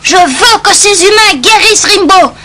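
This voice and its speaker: Very high-pitched little lion cub. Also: animated, moderate pace, slight background noise, exaggerated voice. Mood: defiant. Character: brave, earnest little lion cub, young boy. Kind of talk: French-dubbed Japanese cartoon acting. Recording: loud music behind the voice.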